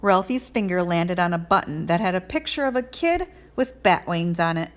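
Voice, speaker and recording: A woman reading a children's storybook aloud in an expressive teacherly narration. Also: lowish voice, moderate pace.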